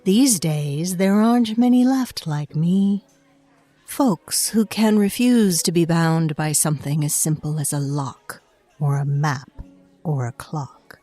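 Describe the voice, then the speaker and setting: An ancient otherworldly woman, tells a dark fantasy tale in a mellow, lowish voice. She is unhurried and knowing, confiding in the listener with faint scorn for humankind. Soft music plays behind her. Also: dry recording. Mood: confiding, sly. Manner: deliberate, confiding, addressing the listener directly. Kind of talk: scripted dark fantasy audio fiction narration.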